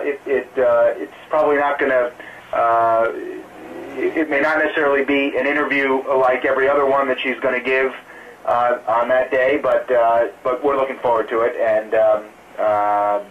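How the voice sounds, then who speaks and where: Campaign spokesman, male, slightly low voice, careful and halting, on a narrow phone line. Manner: halting, careful, hedging delivery. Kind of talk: press conference call, answering questions off the cuff. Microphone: telephone conference call. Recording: muffled narrow phone line, distant, some hiss.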